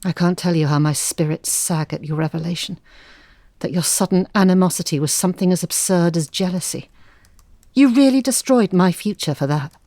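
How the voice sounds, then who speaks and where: Actress as a low-voiced, weary, wistful mother. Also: fairly quick, mellow, no echo, natural voice. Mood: weary reproach. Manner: reflective, plaintive, articulate. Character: weary, wounded married mother, wistful and earnest. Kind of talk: audio drama acting, confessional monologue.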